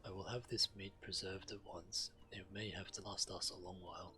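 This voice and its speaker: Deep, very bright-voiced man over loud noise. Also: steady tone, fairly quick. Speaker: game streamer. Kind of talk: reading game quest text aloud.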